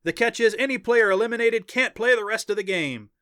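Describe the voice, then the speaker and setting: Male reader as showman sports announcer for a story, excited, high and loud, drawn-out emphasis. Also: clear, resonant tone, no room sound, clean studio sound. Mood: hyped up. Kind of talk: arena sports commentary in an audiobook.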